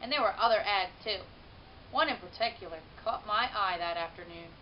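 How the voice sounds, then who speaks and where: A woman narrates a children's book with animated expression. She leans on key words and draws them out. The recording is dull, with a faint hiss behind her. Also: medium pitch, fairly quick. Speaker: teacher reading a children's book aloud. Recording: muffled, hissy home-style recording.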